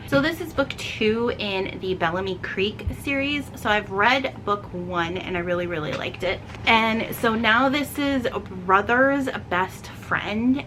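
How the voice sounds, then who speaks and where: A woman's voice: mid-range voice, sharp tone, slight background noise, low-quality recording. A woman hosting a casual, chatty book vlog, talking enthusiastically and stressing words by repeating them. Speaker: book reviewer and video host.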